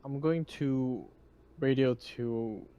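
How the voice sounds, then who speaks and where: A man's voice: mid-range voice, drawn-out words, dark tone, clear, resonant tone, dull audio. A man playing a tabletop game, giving casual but decisive tactical directions.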